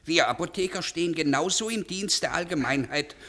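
Officious pharmacist for 1950s radio play: forceful, sharp-edged, emphatic, immovable rule follower. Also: male voice, a little high, a little fast. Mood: stiff, officious. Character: rigid, conscientious male pharmacist, stubborn stickler for rules. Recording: vintage 1950s radio recording.

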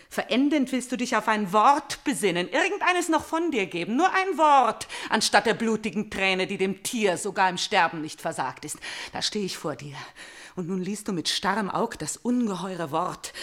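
An actress playing a fanatical, vengeful young princess in a classical radio tragedy, declaiming fiercely and insistently. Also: female voice, mid-range voice, a bit bright, lightly acted. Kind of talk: intense classical stage-style radio tragedy acting.

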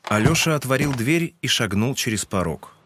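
A man's voice: mid-range voice, expressive, moderate pace, noisy background. A man reading scripted literary narration as the narrator of a dramatized audiobook.

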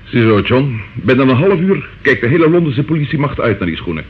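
An actor playing a wry, gruff London police inspector in an old radio play, colloquial and theatrically expressive. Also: male voice, medium pitch, fairly quick, close to an everyday voice. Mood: wry, chatty. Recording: muffled phone-like old radio recording, faint noise.

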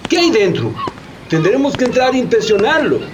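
A man's voice: very high-pitched, wildly swooping pitch, moderate pace, noisy background, full character voice. A man playing a suspicious Brazilian customs officer in a radio drama, questioning insistently and probingly.

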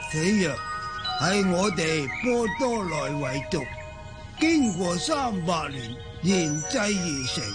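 Solemn old tribe shaman for radio drama, high pitched, really drawn out and theatrical. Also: sing-song, takes it slowly, over loud music, full character voice. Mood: solemn, mysterious. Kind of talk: Cantonese radio drama acting.

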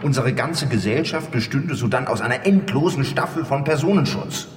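An actor playing a gruff, condescending bodyguard with a dismissive, sardonic manner in a German radio drama. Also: male voice, mid-range voice, lively intonation, moderate pace, lightly acted. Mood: exasperated. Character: gruff, condescending male bodyguard, strong and scornful. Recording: echoey hall, distant from mic.

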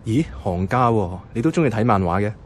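Man acting a low-key young office worker narrating. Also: lively intonation, a little fast, a little mellow, slight background noise, close to an everyday voice. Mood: friendly, casual. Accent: Hong Kong Cantonese. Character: bemused, easygoing young male office worker narrating his story. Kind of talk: radio drama narration and dialogue. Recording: music behind the voice.

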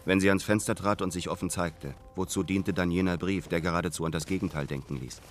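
An actor playing a brooding, lovelorn man who tells his story in a German radio play, measured and earnest. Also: low-pitched, fairly even intonation, faint music behind, close to an everyday voice. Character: brooding, lovelorn returning man, former painter's apprentice. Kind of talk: literary first-person narration in German radio play.

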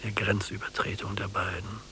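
An older man acting in a literary radio drama as a bitter, mistrustful old blind prisoner, halting and brooding. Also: lowish voice, level tone, sharp tone, slight background noise. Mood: bitter, despairing. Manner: slow, halting, brooding delivery.

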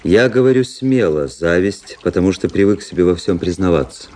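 A man acting a cool, self-analysing young gentleman officer who narrates a radio play in a measured, detached manner. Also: low-pitched, lively intonation. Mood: detached, reflective. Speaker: narrator and lead character.

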